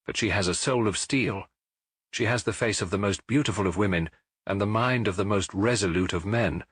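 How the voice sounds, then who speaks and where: A man's voice: sits low, a bit bright, breathy edge, dry recording, studio quality. A man voicing a proud, anxious foreign king in a storybook, speaking with grand emphasis. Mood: grave, agitated. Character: imperious, anxious Bohemian king, intense and proud.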